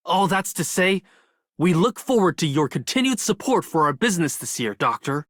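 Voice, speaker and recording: A man's voice: high voice, lively intonation, measured pace, a bit bright, dry recording, studio quality, heavily acted. A man voicing a cheerful, courteous merchant in a game. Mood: cheerful, genial. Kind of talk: game character voice acting.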